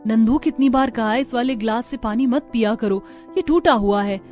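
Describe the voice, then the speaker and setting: A woman's voice: fairly high pitch, moderate pace, a little mellow, faint music behind, close to an everyday voice. A woman voicing practical, outspoken young village daughters-in-law in a cartoon family story. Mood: nagging, annoyed. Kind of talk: Hindi cartoon story dialogue.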